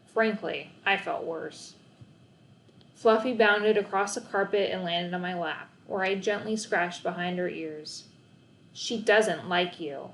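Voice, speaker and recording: A woman's voice: mid-range voice, a little hiss or hum, poor audio. Woman reading a children's story aloud. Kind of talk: expressive scripted children's book narration.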